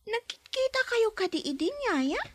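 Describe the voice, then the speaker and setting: A woman's voice: very high voice, big pitch swings, unhurried, a bit bright, no room sound, exaggerated voice. A woman voicing a curious young girl cared for by a nanny, in a radio drama. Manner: inquisitive, talks up to the adults. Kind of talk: Ilocano radio drama acting.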